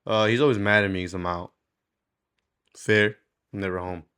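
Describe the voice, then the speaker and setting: A man's voice: fairly low pitch, fairly even intonation, normal speed, fairly bright tone, clear, resonant tone. A man, speaking candidly in a rambling, slangy, sweary way, as in a casual confessional video diary. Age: 20s. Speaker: vlogger.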